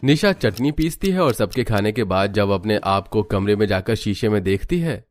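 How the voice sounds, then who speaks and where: Low-pitched male story narrator. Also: lively intonation, normal speed, slight background noise. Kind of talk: scripted Hindi story narration.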